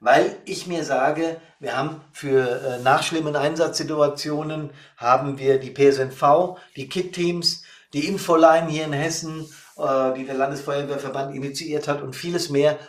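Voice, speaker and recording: A man's voice: a little high, steady tone, normal speed, hardly any pauses. A man, hosting a podcast in a casual, friendly way with frequent fillers. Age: 60s. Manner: chatty, warm-hearted, with frequent fillers. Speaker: podcast host and fire service trainer. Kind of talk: casual podcast talk and live session.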